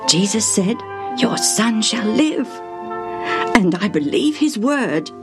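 A woman voicing a distraught, devoted father, a royal official, with urgent, breathy, quivering speech in a storybook reading. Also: medium pitch, very animated, slow, music in the background, pitched above the performer's own voice. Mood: resolute. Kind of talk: dramatised Bible storybook reading.

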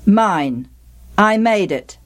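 A woman's voice: mid-range voice, lively intonation, drawn-out words, voice pushed up. A woman voicing a hurt, rejected young boy in a story, plaintive and stubborn. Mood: stubborn protest.

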